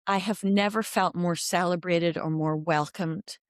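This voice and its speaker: A woman in her fifties with a Belfast Irish accent, telling her life story as an interview guest in a candid, animated way with quoted asides. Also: lowish voice, unhurried, dry recording, studio quality. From Northern Ireland. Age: 50s. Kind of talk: reflective interview conversation.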